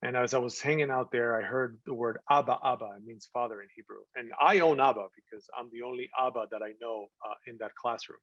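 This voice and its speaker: A man giving an earnest talk to an audience about his film, often pausing to find words. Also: medium pitch, fairly bright tone, dry recording. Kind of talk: presentation to an audience. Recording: slightly dull, dry sound.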